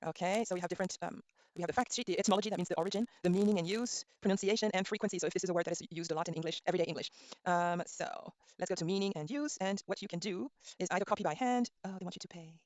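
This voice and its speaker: A middle-aged woman whose first language is Norwegian teaches a relaxed online language class. She thinks aloud, spells words letter by letter and fills pauses with ums. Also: fairly low pitch, no echo. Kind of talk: casual online lesson, thinking aloud while browsing.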